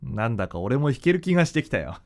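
A man's voice: mid-range voice, very animated, fast. A man playing an aloof but tender young boyfriend in a radio drama, blunt and a little bashful. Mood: casual. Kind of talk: Japanese radio drama acting and narration.

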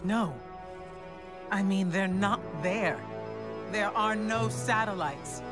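A woman's voice: mid-range voice, slow, close to an everyday voice. A woman voicing a composed warlock scholar. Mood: urgent. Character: composed female warlock scholar, calm, intellectual. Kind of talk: video game cutscene acting. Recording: music under a long reverb.

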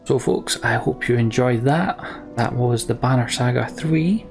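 A man's voice: medium pitch, unhurried, soft background music. A man hosting a video game let's play in a casual, chatty way.

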